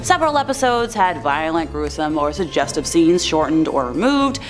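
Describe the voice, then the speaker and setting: A woman's voice: low-pitched, big pitch swings, normal speed. A woman in her twenties hosting a scripted countdown video, with chatty asides and an informal, playful, folksy way of talking over background music. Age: 20s. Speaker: host of a scary countdown video channel.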